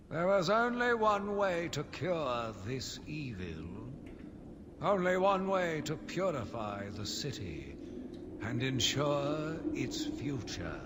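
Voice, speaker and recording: Video game villain, sinister madman, self-righteous zealot, higher-set male voice, dramatic pitch swings, deliberate theatrical brooding. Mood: disgusted resolve. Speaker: voice actor playing a villain narrator. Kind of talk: dramatic scripted monologue, audio journal from a video game. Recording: long reverb, clearly noisy background ambience.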